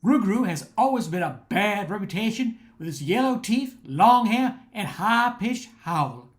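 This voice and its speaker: An older woman narrates a radio play as its announcer. Her delivery is scripted and very animated, swinging widely around a middle pitch. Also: measured pace.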